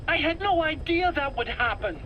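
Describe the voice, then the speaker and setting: Whiny game scientist, really high voice, always making excuses, bit of noise behind him. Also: male voice, animated, moderate pace, muffled recording. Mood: pleading. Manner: pleading, self-justifying. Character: defensive, whining male weapons scientist under interrogation.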